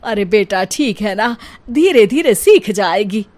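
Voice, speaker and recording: A woman's voice: mid-range voice, expressive, a little slow, a little mellow, close to an everyday voice. A woman voicing a boastful, doting older mother-in-law in a cartoon, coaxing and emphatic. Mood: coaxing, reproachful.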